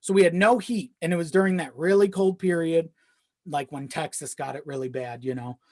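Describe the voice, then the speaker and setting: Podcast guest, mid-pitched voice, rambling casual storyteller with easy swearing, dry recording. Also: fairly even intonation, fairly quick, a little mellow, no echo. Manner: rambling, often chuckling while talking, swears casually. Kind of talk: casual chat, personal storytelling.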